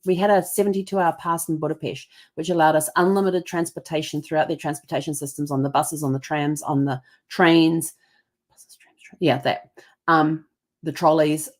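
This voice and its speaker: A woman's voice: on the low side, steady tone, a little fast. Chatty woman with a Kiwi-American accent. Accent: mix of New Zealand and American. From New Zealand. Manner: chatty, lots of ums and restarts, puts on voices for others. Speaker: host. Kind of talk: casual travel storytelling.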